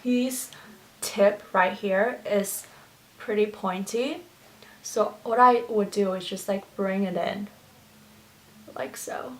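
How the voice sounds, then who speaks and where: A woman's voice: medium pitch, slow pace, pauses often, rough recording. Young woman, first language chinese, gushing about makeup, lots of likes and ums. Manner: chatty and gushing, with many fillers and restarts. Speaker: beauty vlogger. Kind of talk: get-ready-with-me makeup tutorial chat.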